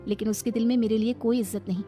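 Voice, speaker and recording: A woman's voice: on the high side, steady tone, racing, warm tone, faint music behind, lightly acted. A woman voicing a sweet, naive young village daughter-in-law in a cartoon story, speaking politely and earnestly. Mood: hurt.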